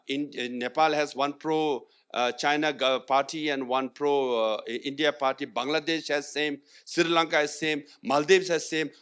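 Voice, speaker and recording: Emphatic man with a lively, animated voice. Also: a little high. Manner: emphatic and argumentative, mixing Hindi phrases into English. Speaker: panelist. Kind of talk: panel discussion. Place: conference hall.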